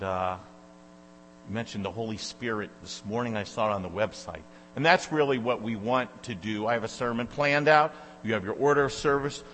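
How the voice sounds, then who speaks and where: Chatty loud-voiced preacher in echoey church. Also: male voice, medium pitch. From Michigan. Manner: loud, chatty, rambling with many fillers. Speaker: guest preacher. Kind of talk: informal sermon introduction. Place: church sanctuary. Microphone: handheld or stand microphone. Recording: echoey church hall with mains hum.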